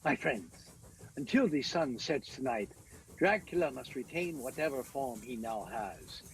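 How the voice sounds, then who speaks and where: An actor playing an urgent, commanding professor who hunts vampires, in a dramatic radio-play style with a husky voice. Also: male voice, mid-range voice, animated, a little slow, low-quality recording. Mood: urgent resolve.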